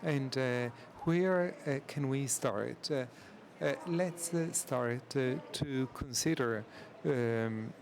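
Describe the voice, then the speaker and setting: A man giving live interpretation at a conference, halting and full of filler sounds. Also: mid-range voice, noisy background. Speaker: simultaneous interpreter.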